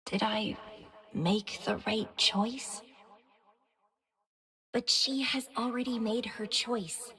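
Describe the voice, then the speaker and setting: A woman's voice: fairly high pitch, fairly even intonation, slow pace, long pauses, sharp tone. A woman playing a brooding, proud young warrior woman in a video game, weary and defiant, with a dramatic delivery. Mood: wistful, resolute.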